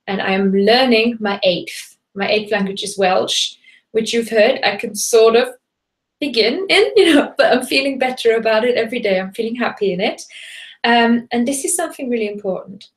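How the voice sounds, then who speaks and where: A woman's voice: on the high side, normal speed. A woman from Germany, a native German speaker, teaching German in a chatty, enthusiastic live online class and greeting viewers from the chat. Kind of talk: live bilingual webinar.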